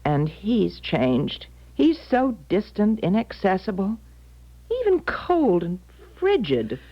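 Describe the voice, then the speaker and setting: Radio-play mother: middle-aged, insistent, agitated woman with animated delivery, dull lo-fi broadcast sound. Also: mid-range voice, slow pace, husky, full character voice. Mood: anxious. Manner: agitated, emphatic, theatrical. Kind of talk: 1970s radio drama acting.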